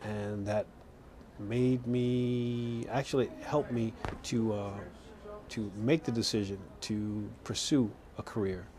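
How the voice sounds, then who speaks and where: Lowish-voiced man, halting, faint noise behind. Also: fairly even intonation. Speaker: program alumnus. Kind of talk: informal interview testimonial.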